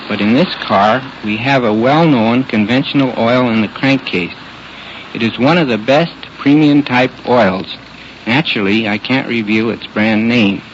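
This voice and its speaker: Explanatory male engineer, muffled vintage radio. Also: medium pitch. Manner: measured, explanatory. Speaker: research engineer. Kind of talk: scripted radio technical demonstration. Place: inside a test car. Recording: muffled old radio, noisy car. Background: car noise.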